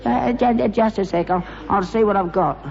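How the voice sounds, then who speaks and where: A man's voice: high voice, normal speed, vocal fry, darkish tone. An actor playing a suspicious, querulous old father with a London accent in a British sitcom. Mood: evasive. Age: older. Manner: querulous, grudging, protesting. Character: suspicious, grumbling old rag-and-bone man and father. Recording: muffled, noisy old sitcom recording, reverberant.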